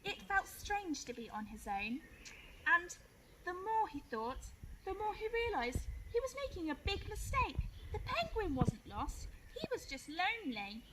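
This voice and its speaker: A woman teacher reading a picture book aloud to young children in an expressive, clearly phrased way. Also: very high-pitched, a little slow, noisy background. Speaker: primary school teacher.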